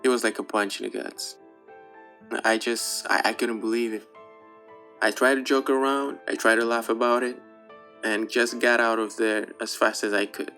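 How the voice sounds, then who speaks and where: A young man whose English carries a Portuguese accent tells a personal story in a casual, candid way, dropping the odd swear word. His voice sits at an ordinary middle pitch for a man. Soft guitar music plays faintly underneath. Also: flat delivery, moderate pace. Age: young adult. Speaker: YouTuber.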